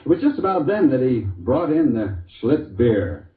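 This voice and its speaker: An actor playing a genial, wry husband who tells a folksy, hesitant story in an old-time radio commercial. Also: male voice, medium pitch, sing-song, a little slow, recorded from a distance. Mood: pleased. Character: genial, wry husband telling beer testimonial. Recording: muffled, echoey old radio recording.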